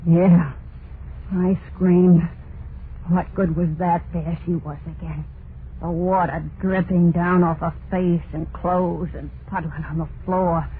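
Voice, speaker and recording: An actress playing a terrified, unhinged wife in an old-time radio horror monologue, halting and overwrought, full of guilt and dread. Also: female voice, lowish voice, level tone. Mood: haunted dread. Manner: halting, overwrought, drawn-out dramatic delivery. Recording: noisy narrow-band vintage radio recording.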